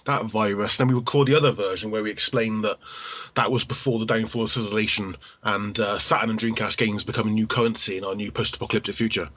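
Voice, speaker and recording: A man's voice: on the low side, fairly even intonation, fast talker, a bit bright, no echo, highs cut off. A man co-hosting a podcast, chatting casually in a hesitant, joking way. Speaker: podcast co-host. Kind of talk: casual chat.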